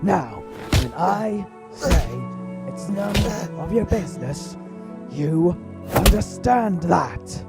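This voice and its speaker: A man's voice: sits high, expressive, slow pace, creaky, full character voice. A man playing a bullying, corrupt officer in an audio drama, mocking and menacing as he draws out his words. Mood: threatening. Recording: loud music over the voice.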